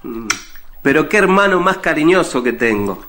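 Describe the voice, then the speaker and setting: A man's voice: on the high side, sing-song, moderate pace, a bit bright. Man acting a teasing fisherman brother, faint noise. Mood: affectionate. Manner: playfully mocking. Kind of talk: Spanish-language religious radio play.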